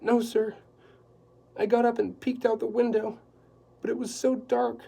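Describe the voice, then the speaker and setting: A man reading aloud and voicing a nervous, tearful woman housekeeper who talks in a high, fretful whimper. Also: flat delivery, a little slow, gravelly, warm tone, breathy edge, heavily acted. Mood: anxious, fretful.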